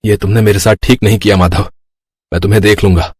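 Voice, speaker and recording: A man voicing a poor, honest, long-suffering village cook in an animated moral story, earnest and plaintive. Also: fairly low pitch, quick pace, husky, no room sound, studio quality. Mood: hurt, angry.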